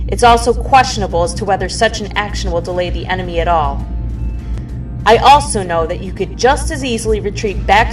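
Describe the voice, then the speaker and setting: A woman's voice: medium pitch, recorded from a distance, natural voice. A woman playing a resolute fleet admiral giving orders formally and solemnly in a sci-fi audio drama.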